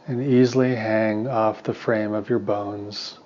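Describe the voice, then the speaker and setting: A man with a low voice guides a meditation in a calm, soothing manner. His delivery stays very flat and unhurried, leaving long pauses between short instructions. The recording sounds slightly dull and muffled. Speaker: meditation teacher and therapist.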